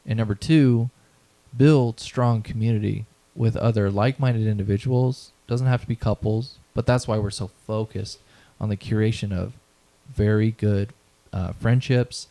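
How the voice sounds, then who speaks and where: A man's voice: fairly low pitch, fairly even intonation, normal speed, vocal fry, dark tone, low-quality recording. A man in his thirties being interviewed, talking earnestly about his mission and values, often checking in with "right?". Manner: earnest, motivational, repeats phrases, tags "right?". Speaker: event founder, guest. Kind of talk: conversational interview.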